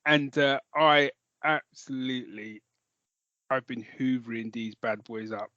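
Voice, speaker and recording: A man chats casually as a podcast co-host in a medium-pitched voice. He is jokey, self-mocking and happy to swear. The sound is dry and close, a little muffled. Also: measured pace, sharp tone, no room sound. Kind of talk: casual chat, recommending audio dramas.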